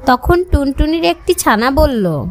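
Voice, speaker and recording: A woman's voice: sits high, sing-song, unhurried. A woman narrating scripted moral stories for children. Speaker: storyteller.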